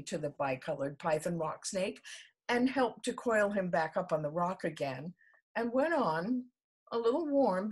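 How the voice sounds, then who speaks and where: A woman reading a children's story aloud as storyteller, with theatrical, deliberate emphasis. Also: mid-range voice, husky.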